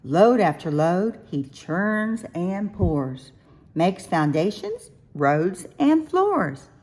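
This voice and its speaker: A woman with a lowish, slightly husky voice reads a rhyming children's story aloud. Her delivery is sing-song and expressive, with lively swoops in pitch. She phrases each line deliberately, as if for young listeners. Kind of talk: rhyming picture-book read-aloud, expressive.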